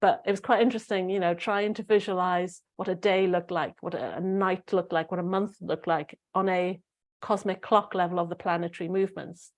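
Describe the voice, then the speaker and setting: A middle-aged woman talks to her listeners in a loose, rambling way, full of fillers and restarts. Her voice sits a little low and sounds slightly croaky. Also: darkish tone. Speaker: spiritual podcast host. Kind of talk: casual solo talk to listeners.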